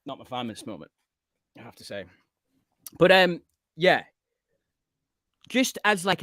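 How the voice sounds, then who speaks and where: A man hosting a live film podcast, chatting casually with lots of fillers and lively storytelling. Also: medium pitch, dry recording, clean studio sound. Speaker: podcast host. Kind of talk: casual chat on a live stream.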